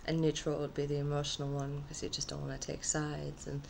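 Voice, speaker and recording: A woman with a low, slightly husky and nasal voice chats to her camera at home. She rambles from one thought to the next and often leaves sentences unfinished, with a faint hiss in the background. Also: completely flat delivery, brisk, a little mellow. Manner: rambling, trailing off mid-thought. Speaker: vlogger. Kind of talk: casual rambling vlog. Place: her own apartment.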